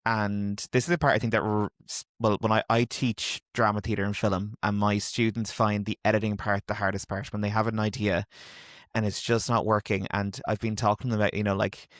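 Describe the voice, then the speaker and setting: Male podcast host, lowish voice, dry sound, hesitant questions. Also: flat delivery, a little fast, no room sound. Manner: hesitant, many ums, laughs easily. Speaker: podcast host, drama teacher. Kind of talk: casual interview chat.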